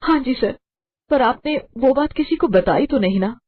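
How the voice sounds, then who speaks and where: A woman's voice: high voice, lively intonation, moderate pace, dry recording, voice pushed up. A woman voicing a tearful young wife who begs a doctor to save her husband. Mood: pleading. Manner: desperate, sobbing pleas. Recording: tinny phone-like sound.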